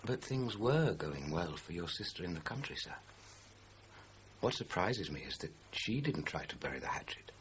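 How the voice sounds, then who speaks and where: An actor playing a shrewd, persistent police detective in a radio crime drama, measured, formal and courteously probing. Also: male voice, low-pitched, very animated. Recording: muffled, noisy radio drama recording.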